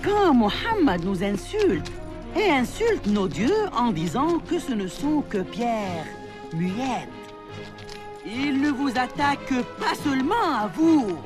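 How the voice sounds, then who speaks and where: A woman playing an indignant pagan noblewoman who rouses her people, declaiming in a French-dubbed animated historical drama. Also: high-pitched, music in the background, heavily acted. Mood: indignant, rousing. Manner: declamatory, deliberate.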